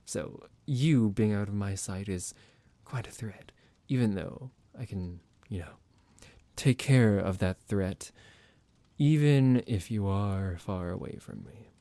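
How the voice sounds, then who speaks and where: A man voicing a possessive, condescending vampire doctor in an ASMR roleplay, speaking in a measured, formal, menacing and softly commanding way. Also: lowish voice, animated, dry recording. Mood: menacing, teasing.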